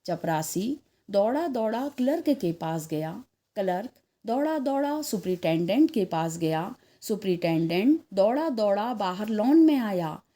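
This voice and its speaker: A woman narrating a scripted story expressively, in a measured way, as a storyteller. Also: medium pitch, very animated, warm tone. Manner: measured, acts out dialogue.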